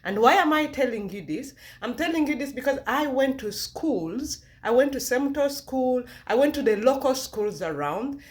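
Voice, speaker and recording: A woman's voice: high voice, lively intonation, normal speed, few pauses. A woman vlogger from Uganda with a Ugandan accent, sharing a personal testimony with viewers in an emphatic way, repeating phrases to encourage.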